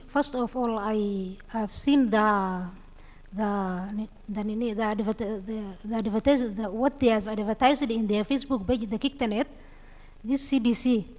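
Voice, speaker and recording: A woman with a Kenyan accent giving an unscripted panel account, halting and repeating words as she goes. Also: fairly high pitch. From Mandera, Kenya. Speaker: panelist, community digital trainer. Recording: echoey hall with long reverb.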